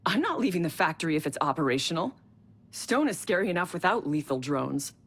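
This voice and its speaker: A woman's voice: fairly low pitch, sing-song, brisk, a bit bright, full character voice. An actress playing a determined woman engineer in a video game cutscene, talking urgently and insistently.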